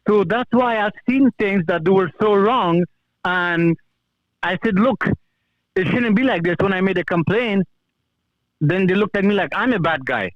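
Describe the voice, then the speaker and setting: Afghan accent guy calling in, pretty high voice, muffled lofi audio, earnest, telling his own story. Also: measured pace, no echo. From Kabul, Afghanistan. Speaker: call-in guest, former police officer. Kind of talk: personal account in conversation.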